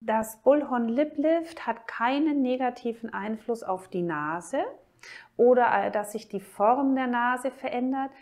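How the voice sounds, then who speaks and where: A woman plastic surgeon with a German accent, calmly explaining a cosmetic procedure to the camera. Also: a little high, soft background music. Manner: calm, measured and informative, points while explaining.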